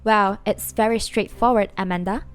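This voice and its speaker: Woman acting a formal news correspondent, medium pitch. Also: fairly even intonation, clean tone, faint music behind, close to an everyday voice. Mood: composed, explanatory. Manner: formal, measured. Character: composed female news correspondent reporting on a war. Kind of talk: news report within an audio drama.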